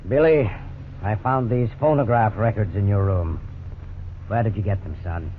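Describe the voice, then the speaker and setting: Man playing a calm policeman on tinny radio. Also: fairly low pitch, natural voice. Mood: firm, patient. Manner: measured, courteous, firm. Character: calm, polite, persistent police officer questioning suspects. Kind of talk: 1950s radio drama acting. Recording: tinny, noisy 1950s radio recording.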